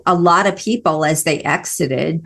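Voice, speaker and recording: A woman with a light Missouri twang hosts a podcast interview in a chatty, enthusiastic way, sprinkling in small affirmations. Her voice sits a little low for a woman. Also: moderate pace, fairly bright tone. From Missouri, USA. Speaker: podcast host. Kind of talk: conversational interview.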